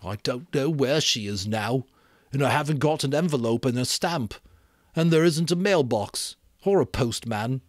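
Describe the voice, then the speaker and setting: A man's voice: medium pitch, lively intonation, normal speed, no room sound, clean studio sound, pitched above the performer's own voice, lightly acted. A man voicing an anxious, dignified and fussy schoolboy in a dramatic audiobook reading. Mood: solemn.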